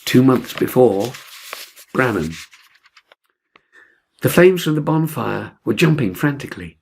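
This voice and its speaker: A man reading a novel aloud as dramatic literary narration, expressive and measured. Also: mid-range voice, creaky, slight background noise, poor audio. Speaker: audiobook reader.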